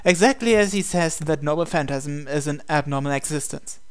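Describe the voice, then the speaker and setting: A man's voice: a little high, fairly quick, clean tone. A man hosting a gaming channel, reading visual novel narration aloud.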